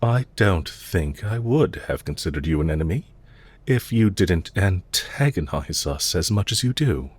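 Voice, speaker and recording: A man playing a suave, calculating villain leader in an intimate audio roleplay, speaking to the listener politely and softly, with measured, lightly teasing courtesy. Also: low-pitched, expressive, breathy edge, exaggerated voice. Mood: composed, courteous.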